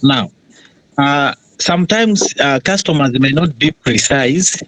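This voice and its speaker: A man's voice: medium pitch, lively intonation, slow pace, fairly bright tone, no echo, rough recording. A man asking a question in an online class, polite and tentative as he works through it. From Malawi.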